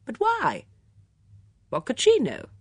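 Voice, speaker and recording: A woman's voice: deep voice, very animated, normal speed, no room sound, studio quality, heavily acted. A woman voicing a cocky, argumentative young Englishman in an audiobook, eager and emphatic in manner. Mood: curious, triumphant.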